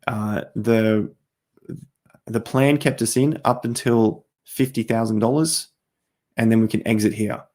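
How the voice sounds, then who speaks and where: A man's voice: fairly low pitch, fairly even intonation, measured pace, dark tone. A middle-aged man hosting a crypto livestream, walking through charts in a repetitive, emphatic way while he is losing his voice and coughing. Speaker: crypto trading livestream host. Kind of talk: live-stream chart analysis.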